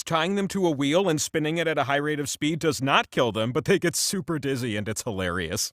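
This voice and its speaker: High-pitched, swooping immortal game-show wheel. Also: male voice, fairly bright tone, dry recording, clean studio sound. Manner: playful, showy, self-important asides. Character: immortal talking game-show wheel, boastful, campy male trivia host. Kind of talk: theatrical scripted game-show hosting.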